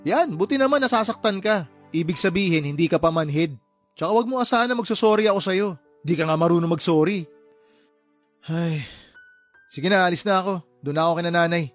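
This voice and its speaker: Male actor as indignant Filipino younger brother, radio drama: high voice, rapid delivery, bitter and sarcastic. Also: no echo, lightly acted. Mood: exasperated. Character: resentful younger brother, hardworking, blunt and sarcastic. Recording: music under the voice.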